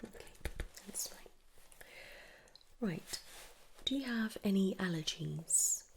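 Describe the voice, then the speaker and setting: Whispering, creaky British woman doing ASMR. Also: mid-range voice, animated, a little hiss or hum. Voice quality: breathy whisper and hushed soft voice. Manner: gentle, unhurried, reassuring questioning. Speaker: ASMR performer playing a dental receptionist or dentist. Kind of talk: ASMR roleplay, whispered and soft-spoken.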